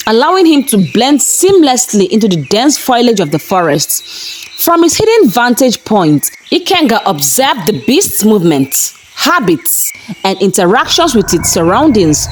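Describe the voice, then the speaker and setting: A woman storyteller reading a scripted folktale in a measured, dramatic manner. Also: mid-range voice, a little hiss or hum, rough recording. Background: background music.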